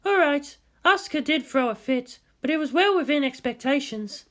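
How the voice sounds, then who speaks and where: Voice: high voice, expressive, normal speed, fairly bright tone, pitched above the performer's own voice. A narrator voicing a cool, clinical female scientist with a dry, matter-of-fact manner. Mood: cool, measured.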